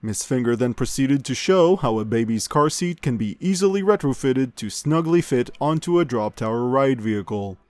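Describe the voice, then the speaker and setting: A man's voice: mid-range voice, lively intonation, moderate pace, hardly any pauses, a little mellow, no room sound, lightly acted. A man playing an upbeat amusement news show host, reading scripted comedy news with showy announcer polish and a straight face. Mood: upbeat, deadpan.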